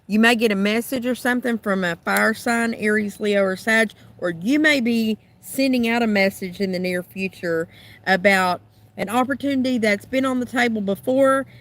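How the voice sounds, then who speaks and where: A woman doing a tarot card reading, thinking aloud in musing, trailing phrases, her voice a little rough and raspy from being sick. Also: medium pitch. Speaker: tarot reader.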